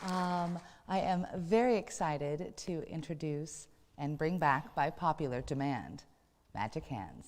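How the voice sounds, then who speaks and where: American woman hosting a live show, kinda low voice, polite and formal, big hall echo. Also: animated, unhurried, a little mellow, a faint crowd, slight background noise. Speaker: host. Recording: hall reverb.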